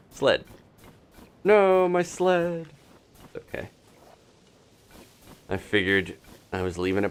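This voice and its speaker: A man's voice: fairly low pitch, fairly bright tone, a little hiss or hum. A man giving casual live gameplay commentary, playfully exclaiming and talking to himself as he plays. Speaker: video game streamer and host.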